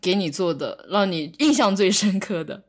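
A woman's voice: medium pitch, animated, normal speed. A woman hosting a podcast in casual chat, playful and bantering. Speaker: podcast host.

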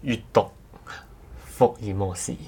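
Podcast co-host, man, low register, hesitant conversational delivery. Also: fairly even intonation, takes it slowly, mellow, a little hiss or hum. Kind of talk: casual podcast chat.

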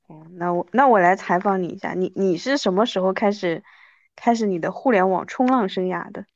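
A woman in her thirties hosting a casual chat interview, talking in an animated way. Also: mid-range voice, fairly quick, dry recording, dull audio. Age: 30s. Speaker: podcast host.

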